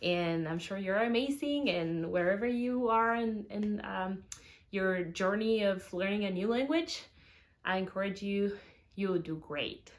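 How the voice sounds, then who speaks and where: A young woman from Uruguay whose first language is Spanish talks to camera in English with a Uruguayan Spanish accent, hesitating with many fillers and restarts and laughing often. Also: medium pitch. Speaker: cooking channel host. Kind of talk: casual talk to camera.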